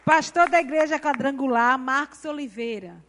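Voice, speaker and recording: High-voiced woman announcing speakers formally. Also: normal speed, a bit bright, slight background noise. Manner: formal, reads out titles and names. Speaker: ceremony presenter.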